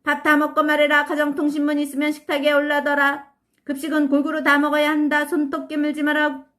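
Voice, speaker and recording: A woman's voice: high voice, monotone, fairly bright tone, pitched above the performer's own voice. A woman voicing a strict, nagging father in a storybook reading, firing off one scolding order after another. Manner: rapid-fire scolding commands.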